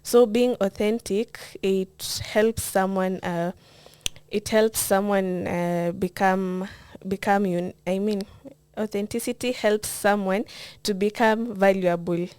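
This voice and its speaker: A woman's voice: mid-range voice, steady tone, slow pace, darkish tone, clean tone. A woman with a Kenyan accent answering conversationally as a guest in a podcast interview.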